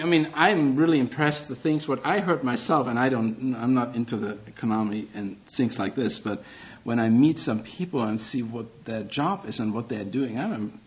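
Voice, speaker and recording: A man's voice: medium pitch, dull audio. A middle-aged man with a German accent preaching a sermon as a guest, earnest and self-deprecating, with non-native phrasing.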